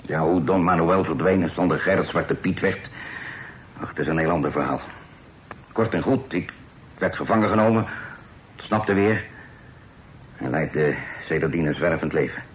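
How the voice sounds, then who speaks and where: An actor in a radio play voicing a proud sailor turned outlaw, speaking in a low, earnest and emphatic way. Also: male voice, moderate pace, long pauses, slight background noise, natural voice, rough recording. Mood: confessing.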